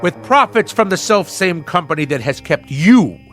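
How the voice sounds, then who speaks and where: A man's voice: high voice, very animated, normal speed, fairly bright tone, soft background music. A man playing a pompous middle-aged husband obsessed with the navy, speaking in theatrical, florid nautical language in a radio drama. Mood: indignant.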